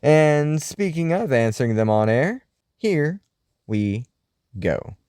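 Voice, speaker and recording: A man hosts in a scripted radio-show style, theatrical and wry. His pitch sits a little high and swings widely, yet the tone stays mellow. The sound is close and dry. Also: sing-song, slow, clean tone, dry recording. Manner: theatrical, wry, musing delivery. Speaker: host. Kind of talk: scripted radio-show style narration, reading listener mail.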